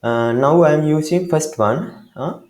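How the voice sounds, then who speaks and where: Slow, hesitant Sri Lankan man. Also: a little high, a little mellow, roomy sound. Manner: hesitant, halting, many fillers and restarts. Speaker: tutorial maker. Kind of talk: screen-recorded software tutorial.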